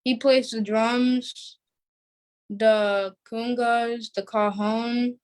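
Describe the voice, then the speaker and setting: A female interview guest giving simple, halting conversational answers. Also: fairly high pitch, fairly even intonation, darkish tone, clean tone. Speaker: play cast member, guest.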